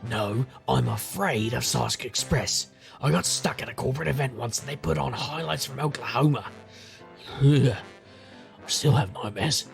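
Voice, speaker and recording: Very gravelly, wisecracking paranormal detective. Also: male voice, mid-range voice, measured pace, soft background music. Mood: dry, uneasy. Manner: wry, rambling, irreverent. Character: wisecracking, jaded paranormal private investigator. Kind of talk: scripted noir-style first-person narration.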